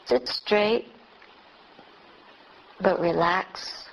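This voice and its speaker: A woman meditation teacher leading a guided meditation in short, unhurried phrases with long pauses between them. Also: mid-range voice. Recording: dull lo-fi recording with clear background noise.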